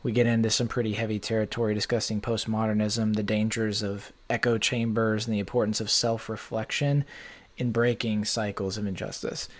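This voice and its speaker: A man hosting a podcast. He chats casually and rambles through long stories, with many "like" fillers. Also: fairly low pitch. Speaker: podcast host. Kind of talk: casual conversation.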